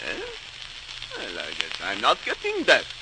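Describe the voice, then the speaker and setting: Crotchety old shopkeeper for a vintage radio play: wildly swooping pitch, absent-minded mumbling, hissy old recording. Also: male voice, huge pitch swings, unhurried. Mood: muttering, teasing. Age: older. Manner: muttering, hesitant, easily startled. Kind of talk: old-time radio drama acting.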